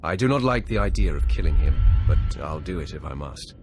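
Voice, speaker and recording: A cocky Sith trainee in a game cutscene. His deep voice swings expressively, blunt and terse with a dry, flippant edge. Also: animated, fairly quick, background noise. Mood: defiant. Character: confident, blunt male Sith acolyte hero. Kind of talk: video game cutscene acting.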